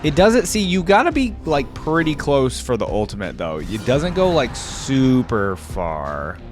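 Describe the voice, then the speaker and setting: A man's voice: mid-range voice, huge pitch swings, unhurried, over music. A man giving casual reaction commentary as the host of a gaming video.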